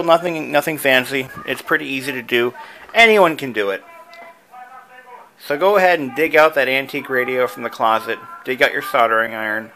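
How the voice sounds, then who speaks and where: A man's voice: mid-range voice, pushed and bright, a little hiss or hum, low-quality recording. A man presenting a casual hobby tutorial in a conversational, enthusiastic way.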